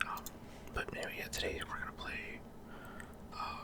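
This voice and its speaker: Male ASMR gaming host, whispered throughout, soft and unvoiced, halting commentary with frequent mid-sentence pauses.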